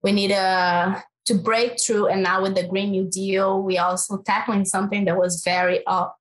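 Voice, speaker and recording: Woman, Brazilian accent, researcher answering at length, mid-pitched, earnest, restarts often. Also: level tone, moderate pace. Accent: Brazilian Portuguese. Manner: earnest, thinking aloud with fillers and restarts. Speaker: climate policy researcher. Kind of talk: interview answer.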